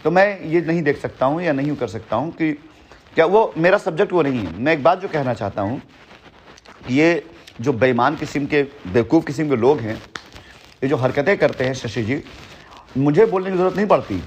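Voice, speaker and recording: A man's voice: on the high side, expressive, normal speed, slight background noise. A middle-aged man heading a company, talking assertively and boastfully on a phone call, repeating his points. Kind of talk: heated phone conversation.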